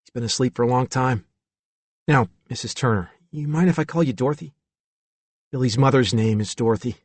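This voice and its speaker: A friendly, talkative young man, as a male audiobook reader puts him on, speaks in an easygoing, casual way. His delivery is relaxed and conversational. The recording is dry and close. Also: medium pitch, a little fast, slightly rough, no echo, lightly acted. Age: young adult.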